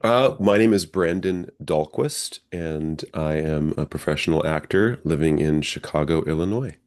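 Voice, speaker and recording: An American man giving conversational, reflective interview answers as a guest, explaining things in a measured, step-by-step way. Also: rumbling low, darkish tone. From northern Minnesota, United States. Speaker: interview guest, professional stage actor.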